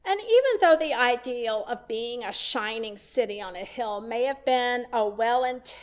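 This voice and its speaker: Slow-spoken woman minister preaching. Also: on the high side, animated, smooth. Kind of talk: sermon.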